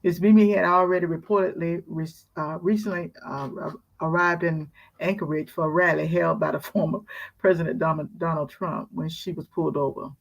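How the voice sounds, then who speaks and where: A woman co-host with a gravelly, creaky voice reads a news story aloud on a casual talk show. She trips over words now and then and drops wry little asides as she goes. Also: fairly low pitch, fairly even intonation, normal speed, low-quality recording.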